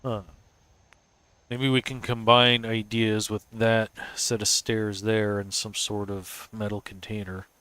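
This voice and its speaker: A somewhat low-voiced man, stuffy and sniffly from a cold. He talks to himself in a loose, casual way, muttering and thinking aloud as he builds. It is relaxed live-stream commentary. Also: a little slow, clear, resonant tone, no echo.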